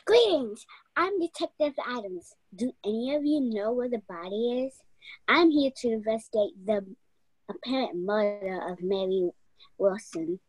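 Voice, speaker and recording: A woman's voice: a little high, moderate pace, husky, no echo, poor audio. A young woman playing a self-important, competitive female detective in a radio mystery play. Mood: businesslike.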